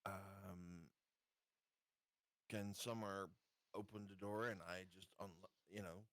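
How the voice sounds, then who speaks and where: A man's voice: sits low, little pitch movement, slow pace, fairly bright tone, dry recording. A man chatting casually as a player in a tabletop roleplaying game, talking over plans with the others.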